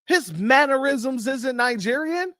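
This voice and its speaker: A livestream host speaks with heated emphasis. The pitch leaps up and down constantly. The sound is dry, with no room around it. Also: animated, unhurried, sharp tone, no room sound. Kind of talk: livestream commentary.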